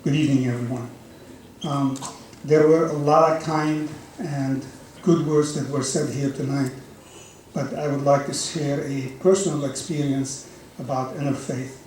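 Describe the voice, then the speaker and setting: A man's voice: mid-range voice, fairly even intonation, unhurried, far from the mic, a little hiss or hum. A man from Lebanon, a board chairman, telling an earnest story in a speech at a community dinner. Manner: storytelling, earnest, warm-hearted. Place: large banquet hall. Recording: echoey hall, mic heard from afar.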